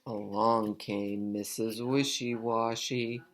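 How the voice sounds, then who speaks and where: Very low-voiced woman reading to children. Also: fairly even intonation, takes it slowly, a little hiss or hum. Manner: instructive, asks the listener questions. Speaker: teacher reading aloud. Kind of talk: storybook read-aloud for young children.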